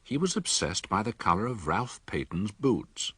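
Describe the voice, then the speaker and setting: A man playing a measured, inquisitive gentleman doctor who narrates and questions in a radio drama. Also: on the low side, sing-song, slightly rough, no room sound, clean studio sound, exaggerated voice. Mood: narrating, reflective. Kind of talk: radio drama acting and first-person narration.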